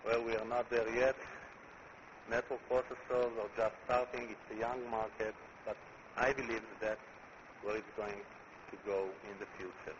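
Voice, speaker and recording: A man's voice: lowish voice, little pitch movement. Israeli-accented man giving a muffled conference talk. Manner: deliberate, repeats words while building points. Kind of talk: conference presentation. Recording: muffled recording with clear background noise.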